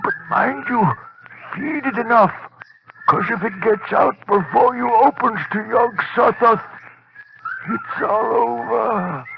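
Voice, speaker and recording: A muffled, noisy old radio recording of a dying old wizard. The high voice creaks and gasps, dragging out sinister, urgent commands in radio horror style. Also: male voice, slow, heavily acted. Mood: urgent warning. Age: older. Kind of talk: 1940s radio horror acting.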